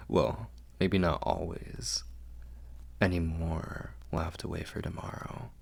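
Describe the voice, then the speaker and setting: A man playing a protective, doting vampire lord in an intimate ASMR roleplay, with courtly phrasing and a breathy, gravelly, soothing voice. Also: deep voice, flat delivery, slow, full character voice. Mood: fond, teasing.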